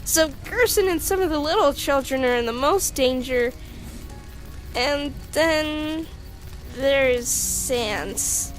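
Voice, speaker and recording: A woman's voice: takes it slowly, rough recording. A woman voicing a nervous, geeky female monster scientist in a comic dub, stammering as she explains earnestly. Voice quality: stammering, high-pitched. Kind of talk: animated comic dub acting. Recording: music behind the voice.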